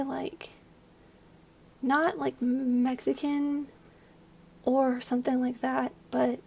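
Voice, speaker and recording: Hushed, slightly hoarse young woman rambling. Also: sits high. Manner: rambling, chatty, many fillers; hushed, keeping quiet at night. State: slightly hoarse, losing her voice. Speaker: video creator. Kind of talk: casual voice-over commentary on a game build. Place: home at night, at a desk.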